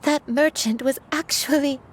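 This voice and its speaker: A woman playing a gentle, remorseful grandmother in a video game, telling her story in an earnest, wistful, confessional way. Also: sits high, steady tone, a little slow, a little hiss or hum. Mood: stunned. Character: remorseful, gentle grandmother, a crane in human form.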